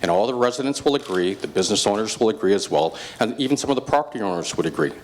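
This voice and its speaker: A man, a mayoral candidate, speaking haltingly with restarts and pushing to keep the floor in a debate. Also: fairly low pitch, lively intonation, poor audio. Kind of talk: political debate.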